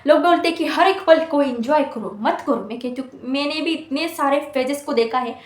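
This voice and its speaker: High-voiced young woman in an echoey room. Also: moderate pace. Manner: earnest, scolding, full of rhetorical questions. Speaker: motivational speaker for exam students. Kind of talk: motivational talk to students. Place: hostel room.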